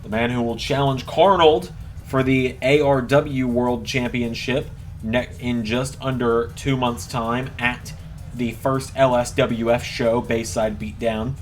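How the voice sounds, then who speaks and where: A man commentating play-by-play on a wrestling video game as channel host, with announcer-like, drawn-out emphasis. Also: medium pitch, fairly even intonation, soft background music. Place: voice-over recorded at a computer over gameplay.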